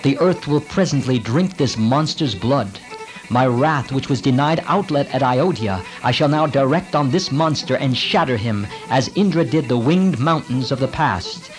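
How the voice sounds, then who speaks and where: A man's voice: mid-range voice, animated, moderate pace, faint music behind, natural voice, rough recording. A man voicing a wrathful young warrior prince making a defiant vow. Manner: vowing, defiant.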